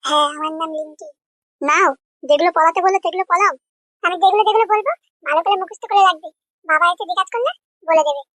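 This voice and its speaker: A performer voicing a bossy, innocent little girl in a comedy cartoon, childlike, with a pitched-up voice. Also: quick pace, long pauses, pushed and bright, no room sound. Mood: instructing. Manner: instructing, childlike.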